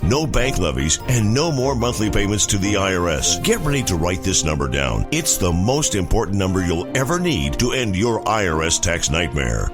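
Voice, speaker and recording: A man reading a scripted radio advertisement as an announcer. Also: sits low. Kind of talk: scripted ad read.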